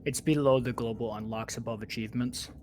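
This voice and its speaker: A man's voice: medium pitch, fairly even intonation, fairly quick, darkish tone, faint music behind. A man in his twenties casually explaining game tips to his friends over voice chat. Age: 20s. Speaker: gamer giving tips to guildmates.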